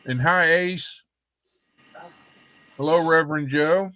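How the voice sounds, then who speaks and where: Rambling man, gently scolding. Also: on the high side, takes it slowly, dry recording. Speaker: guest on a livestream. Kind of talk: casual livestream chat.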